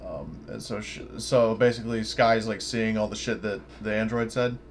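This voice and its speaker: Brief: male tabletop player, lowish pitch, casual and sweary, home mic with faint noise. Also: normal speed. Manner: informal, sweary. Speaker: player in a tabletop roleplaying game. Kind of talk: casual online game session talk. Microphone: online voice chat mic.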